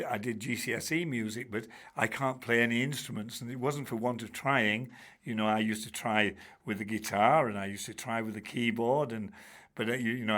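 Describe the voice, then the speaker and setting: An older man, a former teacher telling stories in a chatty interview, full of restarts and asides. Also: medium pitch. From Bradford, England. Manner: chatty, warm-humoured, many restarts and "you know"s. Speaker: guest, charity trustee and former teacher. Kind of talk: relaxed storytelling in an interview.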